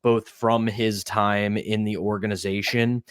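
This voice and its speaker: A man with a fairly low voice co-hosts a sports podcast. He lays out his opinions conversationally. The sound is very dry, with no room echo. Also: level tone, a little slow, clear, resonant tone. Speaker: podcast co-host.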